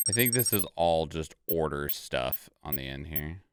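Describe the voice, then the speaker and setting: A man's voice: a bass voice, animated, normal speed, a little hiss or hum. A man hosting a reaction video, commenting casually on game trailers and often repeating words for emphasis.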